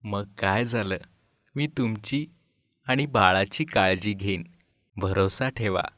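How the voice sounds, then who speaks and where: Young office-worker suitor, lowish dry voice, earnest and stubborn, acted by a man, animated moral tale. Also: slow, no echo. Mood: earnest, tender.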